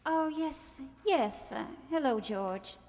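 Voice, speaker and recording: Woman acting a sharp-tongued young bride, high voice, swooping intonation, haughty, theatrical, radio drama. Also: lively intonation, slow pace, heavily acted. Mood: wistful. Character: proud, sharp-tongued young society bride, longing to be loved. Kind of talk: amateur radio play acting. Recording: echoey hall, long reverb.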